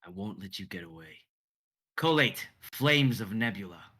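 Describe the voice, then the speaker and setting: A gloating villain boss, put on by a man, very low-pitched and sharp. He taunts with menace, like the leader of assassins in an amateur dramatic reading. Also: lively intonation, measured pace, full character voice. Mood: menacing, furious.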